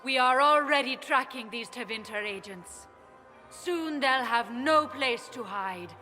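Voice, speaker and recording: An actress playing a proud, idealistic elven ambassador in a game, speaking earnestly like a formal orator. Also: female voice, on the high side, lively intonation, a little slow, pushed and bright, faint music behind. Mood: resolute. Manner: declamatory, earnest. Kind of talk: formal rallying speech, game acting. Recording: long echoing hall reverb.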